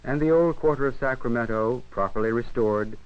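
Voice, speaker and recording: An American man narrating a 1950s educational film in a measured, formal, literary manner. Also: mid-range voice, clear, resonant tone. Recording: dull 1958 film soundtrack, radio-like.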